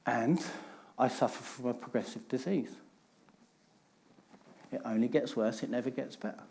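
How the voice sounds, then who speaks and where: A man's voice: medium pitch, steady tone, normal speed, a little mellow, slight background noise. A middle-aged man, giving a candid personal talk on stage and stressing points through repetition. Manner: candid, emphatic storytelling with repeated phrases. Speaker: conference speaker.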